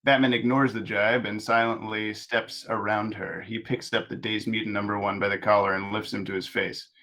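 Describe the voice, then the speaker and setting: A man reading stage directions aloud as narrator at a table read of an animated script. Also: lowish voice, normal speed, poor audio.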